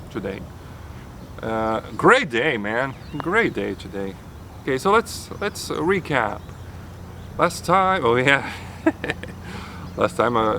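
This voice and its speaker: A man's voice: medium pitch, a bit bright, a little hiss or hum. A man talking casually in a vlog outdoors, rambling and restarting his sentences with chuckles. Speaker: vlogger. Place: outdoors, near a road.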